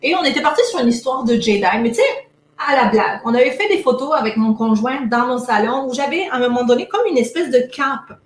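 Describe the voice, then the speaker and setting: Upbeat french woman, montpellier accent gone half québécois, bit high voice, echoey room. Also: lively intonation, fast talker, slight background noise. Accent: Montpellier French mixed with Québécois. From France. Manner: chatty, upbeat, lots of Québécois fillers. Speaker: productivity coach. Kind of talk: casual interview. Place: Québec.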